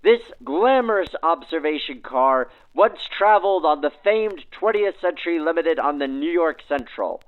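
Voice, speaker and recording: A man's voice: on the high side, expressive, moderate pace, few pauses, phone-quality sound. A man narrating from a script in a grand, formal 1940s radio announcer style, through a vintage radio filter. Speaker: narrator and host. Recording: band-limited 1940s-style radio sound.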